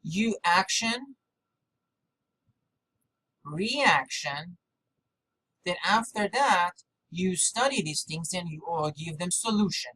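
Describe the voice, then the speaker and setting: A non-native English speaker with a mid-pitched voice rambles through an unscripted vlog monologue. The delivery is halting and repetitive, breaking off and jumping between thoughts. There is clear noise behind the voice. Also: expressive, sharp tone. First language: not English. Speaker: video maker. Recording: clearly noisy background.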